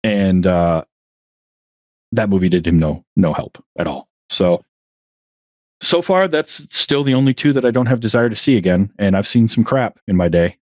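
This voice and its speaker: A man with a lowish voice talks loosely as a podcast guest, often restarting mid-sentence and chuckling at himself. The sound is dry and close, with no room around it. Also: animated, moderate pace, a little mellow, no room sound. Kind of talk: casual interview chat.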